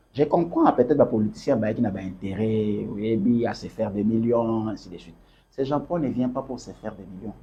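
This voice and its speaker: Low, mellow man with a Congolese French accent. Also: a little hiss or hum. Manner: emphatic and repetitive, quotes scripture and mixes in Lingala. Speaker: political party leader. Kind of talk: interview answers in a preaching tone. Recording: dull lo-fi recording.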